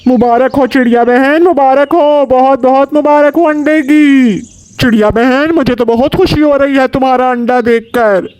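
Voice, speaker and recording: A very high-pitched male kite bird from an animated moral tale , acted by a man. He is a sly, gruff schemer who lays on false kindness with theatrical, emphatic delivery. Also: moderate pace, no echo. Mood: gleeful, sweet-talking. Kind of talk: Hindi animated moral-story cartoon acting.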